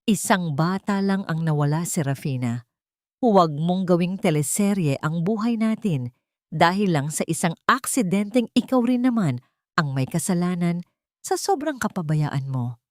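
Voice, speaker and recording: A woman's voice: fairly low pitch, animated, normal speed, no echo, clean studio sound, lightly acted. A woman playing a rich older mother-in-law, cold, callous and imperious, in a dramatic audio story. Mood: cold, blaming.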